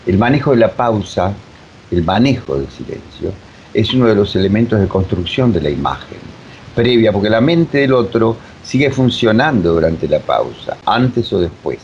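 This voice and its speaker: Mature man, Argentine accent, lowish voice, reflective explanations, emphatic repetition, dull slightly noisy recording. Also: very animated, moderate pace, a little mellow. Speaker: interview guest, voice professional. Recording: dull lo-fi sound, faint noise.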